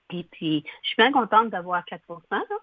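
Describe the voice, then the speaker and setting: A woman's voice: medium pitch, very animated, no echo. Older woman on a tinny phone line. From Ottawa, Canada. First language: English. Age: 60s. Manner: slow, halting, clears throat often. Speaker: guest.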